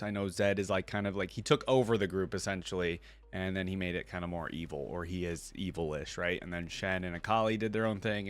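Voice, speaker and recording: Male reaction host, lowish voice, dry recording, informal commentary and read-aloud delivery. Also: no echo. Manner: informal, thinks out loud. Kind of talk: casual commentary mixed with reading aloud.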